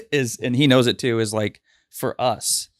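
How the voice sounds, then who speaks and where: A middle-pitched man in a dry, close-miked studio, chatting casually as a podcast host. He tells wedding stories with animation and drops into quick voices for the people in them. His delivery is loose and conversational, with restarts as he gets going. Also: no echo. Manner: animated storyteller, acts out quotes.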